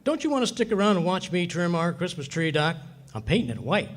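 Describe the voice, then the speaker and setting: A man's voice: high voice, a little fast. A man playing a bumbling, boastful middle-aged husband who spins folksy tall tales in 1940s radio comedy style. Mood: exasperated, blustering. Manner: folksy, blustering, excitable tall-tale telling. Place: live staged radio play at a hotel venue.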